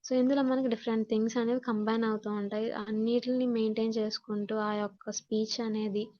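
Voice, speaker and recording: Higher-voiced Telugu woman teacher, dull audio. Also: little pitch movement, a little fast. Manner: explanatory, switching between Telugu and English. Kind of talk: online class lecture, reading points in English then explaining.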